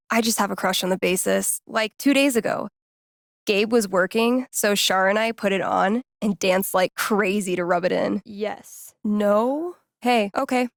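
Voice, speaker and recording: A woman's voice: medium pitch, gravelly, dry recording, studio quality. An actress playing a laid-back, geeky young American woman in a video game, speaking in a casual, wry, playful way. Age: young adult. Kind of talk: naturalistic video game character dialogue.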